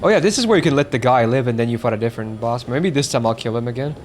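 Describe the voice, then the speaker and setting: A man's voice: mid-range voice, expressive, racing, slight background noise. A man streaming a game, chatting casually with his viewers and swearing now and then. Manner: chatty, swears, reads chat aloud. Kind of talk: casual gameplay commentary.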